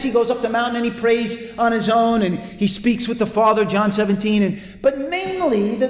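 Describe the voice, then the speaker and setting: A man with a Welsh accent preaching a conversational sermon to a convention audience, often restarting and repeating words. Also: very high-pitched. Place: large hall with a live audience. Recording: echoey hall with long reverb, faint ambience.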